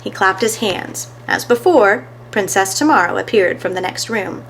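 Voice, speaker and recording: A woman's voice: medium pitch, lively intonation, moderate pace, fairly bright tone, a little hiss or hum, low-quality recording. A woman reading scripted narration of a children's story as a storyteller.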